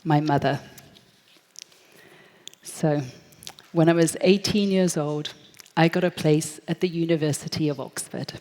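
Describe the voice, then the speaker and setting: A British woman in her thirties giving a lively, story-driven talk at a forum, acting out the voices of people she quotes. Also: deep voice, measured pace, long pauses. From Britain. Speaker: speaker at a forum. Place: large hall. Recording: echoey hall, long reverb.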